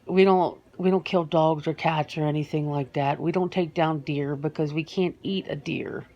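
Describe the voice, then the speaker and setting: A woman playing a reluctant, sentimental female werewolf in a soft-spoken ASMR interview roleplay, speaking haltingly and repeating words. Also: low-pitched, fairly even intonation, highs cut off.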